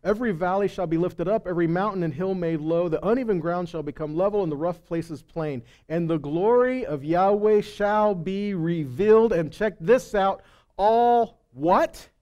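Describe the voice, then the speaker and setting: High-pitched, mellow-toned male preacher. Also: moderate pace, dull audio. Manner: folksy, conversational, emphatic with rhetorical questions. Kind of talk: sermon with scripture reading and casual storytelling. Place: indoors on a stage at a congregation hall. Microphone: headset microphone.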